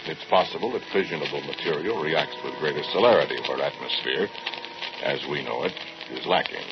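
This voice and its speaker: Very deep-voiced, pompous scientist. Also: male voice, fairly quick. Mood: pompous, musing. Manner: pedantic, pompous, declamatory. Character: proud, obsessive male scientist, coldly rational, defensive doctor. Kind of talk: 1940s radio horror drama acting. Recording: noisy, muffled old radio drama recording.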